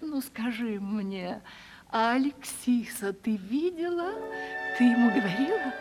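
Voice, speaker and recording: A woman's voice: on the high side, expressive, measured pace, a little mellow. An actress playing a haughty, nervous, aging lady of the manor in a Russian radio play. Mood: eager. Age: older. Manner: haughty, theatrical. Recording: reverberant old radio play, music behind.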